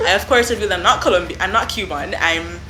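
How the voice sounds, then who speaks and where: A woman's voice: mid-range voice, fast, echoey room, heavy background noise. A young woman from Jamaica with a Jamaican accent, telling a story to camera in an effusive, chatty way. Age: young adult. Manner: effusive, animated, lots of "I mean" and "like". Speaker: vlogger. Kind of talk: casual storytime.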